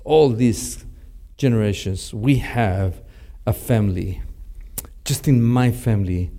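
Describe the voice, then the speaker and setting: Mexican-accented pastor, halting and mellow. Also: male voice, mid-range voice. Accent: Mexican Spanish. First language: Spanish. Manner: halting, many fillers and restarts. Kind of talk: teaching talk to a church audience.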